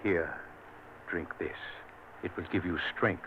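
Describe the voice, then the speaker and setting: An actor playing a gentle family doctor who soothes a dying patient, in vintage radio drama style. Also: male voice, low voice, slow pace. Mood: soothing. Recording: scratchy, tinny old radio recording with hiss.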